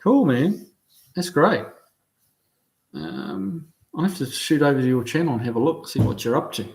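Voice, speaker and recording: A middle-aged man with a New Zealand accent, hosting a casual livestream, reading out viewer comments and answering them in a relaxed, halting way with lots of ums and restarts. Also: a little high, a little hiss or hum. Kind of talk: casual chat.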